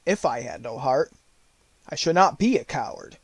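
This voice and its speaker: A man's voice: medium pitch, animated, normal speed, slightly rough, dry recording. A man voicing a big cowardly lion in a storybook, mournful and self-pitying as he speaks. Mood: sorrowful. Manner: sorrowful, confessing.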